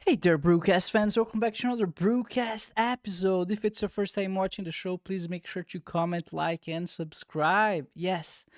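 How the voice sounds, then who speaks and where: A man's voice: high-pitched, steady tone, normal speed, few pauses. A man hosting a podcast, chatty and eager as he greets listeners and interviews his guest. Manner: eager, chatty, asks for engagement. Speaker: podcast host.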